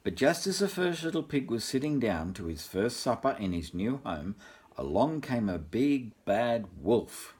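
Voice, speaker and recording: An older man reads a picture book to young children like a grandfather. His voice is husky and rises and falls a great deal, with expressive asides put straight to the little listeners. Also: mid-range voice, a little slow. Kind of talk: storybook reading with asides to children.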